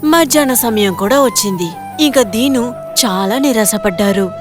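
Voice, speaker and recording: A woman narrates a scripted children's moral story. She reads as a storyteller, with music playing clearly behind her voice. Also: a little high, moderate pace.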